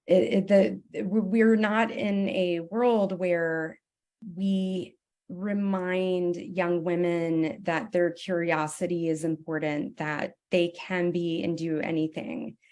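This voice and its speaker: Female host, slow and earnest, lowish voice, affirming tone, video call. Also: darkish tone. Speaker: conference host. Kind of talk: hosting a live interview.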